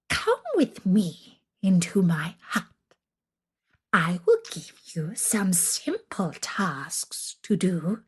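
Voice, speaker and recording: An old witch from a scary storybook, acted out by a woman, speaks very slowly in a breathy rasp. The pitch slides widely as sweet grandmotherly words carry a sly menace. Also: full character voice. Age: older. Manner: slow, drawn-out, mock-kindly threats. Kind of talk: storybook character acting.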